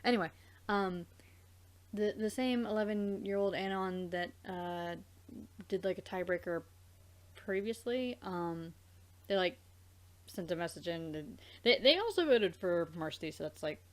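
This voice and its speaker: A woman hosting a let's play, chatting casually in a rambling, halting way and circling back mid-thought. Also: lowish voice, expressive. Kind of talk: casual gaming chat.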